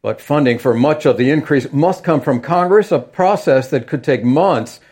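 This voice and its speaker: A man filing a news report as a correspondent, with a measured, formal delivery. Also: on the high side, darkish tone, slightly rough.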